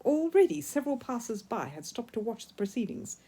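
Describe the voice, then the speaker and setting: A woman's voice: fairly high pitch, sing-song, a little fast, dark tone, a little hiss or hum. A woman narrating a children's story expressively, as a storyteller reading from a book.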